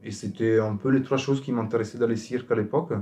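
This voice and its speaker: A man's voice: medium pitch, steady tone, quick pace, warm tone. Man over music. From abroad, outside France. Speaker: circus acrobat, company founder. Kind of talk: informal interview about own work.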